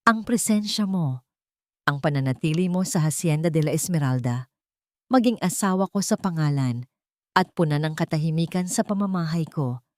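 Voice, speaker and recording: A woman's voice: low-pitched, expressive, moderate pace, no echo, clean studio sound. A woman with a Filipino accent voicing a cold, brooding rich man in a romance story, blunt and businesslike. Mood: firm, merciless.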